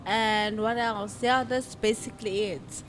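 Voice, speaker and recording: A woman in her twenties, a podcast guest telling her own story in a casual, reflective way, often pausing to think aloud. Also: a little high, fairly even intonation, faint music behind. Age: 20s. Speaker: podcast guest, modeling agency founder. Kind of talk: personal story in casual interview.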